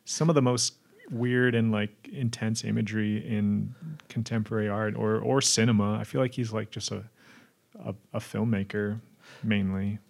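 A man's voice: fairly low pitch, fairly even intonation, moderate pace, vocal fry. A man co-hosting a podcast, chatting casually in a thoughtful, hesitant way. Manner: thoughtful, hesitant, trailing phrasing. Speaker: podcast co-host. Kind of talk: casual chat.

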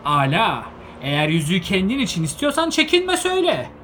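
A man voicing an elderly male hobbit who is possessive and touchy, with shrill outbursts and covetous whispers. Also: expressive, brisk, darkish tone, a little hiss or hum, natural voice. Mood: defiant.

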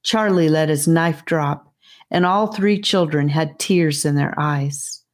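Female audiobook narrator, low register, slow and measured reading of children's fantasy. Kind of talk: scripted fantasy story narration.

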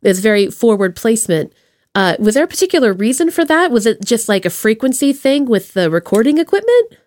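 A woman interviews casually as a podcast host. Her voice is fairly high and very animated, with lots of melodic movement, and the sound is dry. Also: brisk, no echo.

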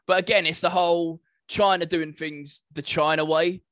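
A man's voice: a little high, fairly even intonation, moderate pace, a bit bright, dry recording, studio quality. A young British man in casual podcast chat as co-host, informal and acting out imagined exchanges. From United Kingdom.

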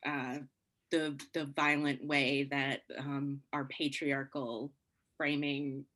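A woman working in theatre answers interview questions reflectively, in a deliberate, halting way with pauses mid-phrase. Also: rumbling low, level tone, a bit bright. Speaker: theatre artist being interviewed.

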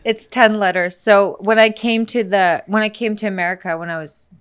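A woman's voice: medium pitch, fairly even intonation, moderate pace, clear, resonant tone. A woman whose first language is Icelandic, a guest on a casual podcast, chatty and upbeat. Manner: chatty, upbeat, laughs easily.

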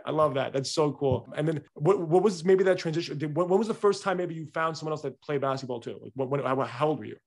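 Very fast-talking eager podcast host man. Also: on the high side. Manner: eager, rambling questions full of fillers. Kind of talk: casual interview.

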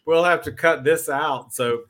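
A man's voice: a little high, unhurried, a bit bright. A man hosting a podcast as a casual chat, effusive and joking, often repeating his phrases. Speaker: podcast host.

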